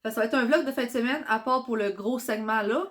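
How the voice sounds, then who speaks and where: A woman's voice: on the high side, fast. Chatty Quebec woman with a stuffy cold. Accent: Quebec French. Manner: chatty and excitable, many fillers. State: congested with a cold. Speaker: vlogger. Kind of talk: casual vlog chat.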